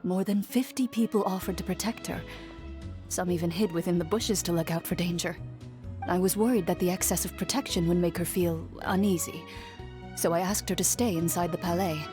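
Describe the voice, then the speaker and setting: An actress voicing a composed official who talks in a calm, polite way, with game music behind. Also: female voice, on the low side, a little fast, close to an everyday voice. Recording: game audio with background music.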